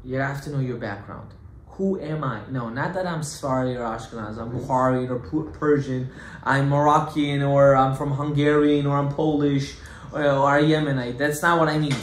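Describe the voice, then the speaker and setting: A man giving an animated Torah class as a rabbi, emphatic and full of rhetorical questions, mixing Hebrew terms into his English. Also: fairly high pitch. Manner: emphatic, rhetorical questions, acts out objections loudly. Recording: echoey room with faint background noise.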